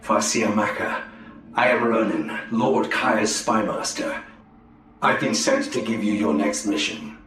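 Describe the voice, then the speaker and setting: An actor playing a cool, composed alien elf spymaster in a video game, speaking formally and measuredly. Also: male voice, on the low side, fairly even intonation, unhurried, a bit bright. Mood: cool authoritative. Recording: echoey with faint music.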